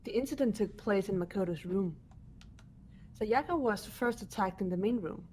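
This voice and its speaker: Woman as calm student detective, mid-pitched, measured explaining, video game dub. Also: a little hiss or hum, close to an everyday voice. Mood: composed. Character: composed, analytical female student detective. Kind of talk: fan voice acting of a video game script.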